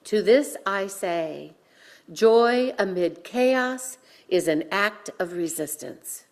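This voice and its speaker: A woman minister delivering a sermon with measured, deliberate phrasing and pauses, guiding listeners in a reflective way. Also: mid-range voice, animated, gravelly. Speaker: minister leading a worship service. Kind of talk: sermon with guided breathing.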